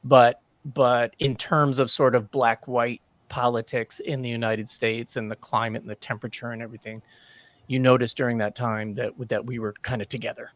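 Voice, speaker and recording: A man's voice: mid-range voice, flat delivery, normal speed, dry recording. A man talking in a reflective, conversational way during a casual panel discussion.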